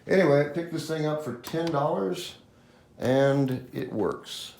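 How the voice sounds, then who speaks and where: A man's voice: a little high, measured pace, creaky, darkish tone, slight background noise. A man hosting a hobby channel, talking casually to viewers as he presents. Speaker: host of a model train collecting channel. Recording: roomy, echoing home video sound.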